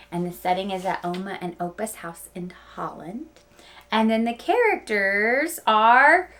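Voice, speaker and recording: Woman, teacher, fairly high voice, unhurried delivery, animated storybook read-aloud, simple explanations for children. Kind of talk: expressive picture-book read-aloud with chatty asides to children.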